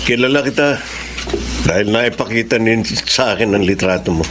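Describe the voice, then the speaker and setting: An actor playing a defiant, cocky rival lover with a taunting, combative manner in a Filipino radio drama. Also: male voice, on the low side, moderate pace, lightly acted. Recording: noisy radio drama recording.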